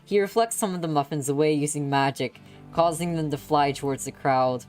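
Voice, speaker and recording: A woman's voice: low voice, a little fast, a little mellow. A woman reading a story aloud on a stream with dramatic, playful expression. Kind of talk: expressive live story narration. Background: background music.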